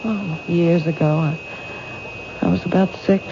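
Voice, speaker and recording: Frightened young woman, halting, doubting, emotional, 1970s radio mystery, dull noisy recording. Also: fairly low pitch, fairly even intonation. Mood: haunted, frightened. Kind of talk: 1970s radio drama acting.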